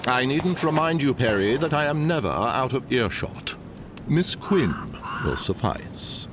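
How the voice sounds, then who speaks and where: A man's voice: sing-song, measured pace. A man playing a stiff, formal head manservant in a gothic audio drama, deferential and precise. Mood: stern. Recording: noisy sound-effect backdrop with rumble.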